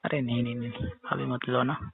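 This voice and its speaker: A man's voice: mid-range voice, flat delivery, brisk, background noise. A man chatting casually on a private phone call in a teasing, persistent, questioning manner. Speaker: caller, brother-in-law. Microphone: phone call recording. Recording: tinny, muffled phone line with echo.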